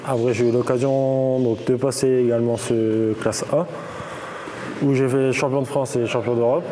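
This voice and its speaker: A man's voice: medium pitch, steady tone, moderate pace, noisy background. A man in his twenties, a competitive boxer, answers interview questions in a plain, matter-of-fact way. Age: 20s.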